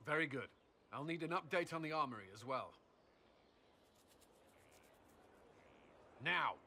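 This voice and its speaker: A man's voice: a little high, lively intonation, fast talker, bright, forceful tone, slight background noise, full character voice. A man playing an earnest, vigilant military commander in a game, speaking in a firm, clipped way. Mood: brisk, commanding. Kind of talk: dramatic game cutscene acting.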